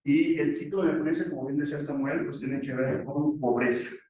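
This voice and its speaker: A man's voice: a little high, fairly even intonation, brisk, warm tone. A male academic economist giving an explanatory conference presentation, posing questions and answering them himself. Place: echoey conference session room. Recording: very echoey room, distant microphone.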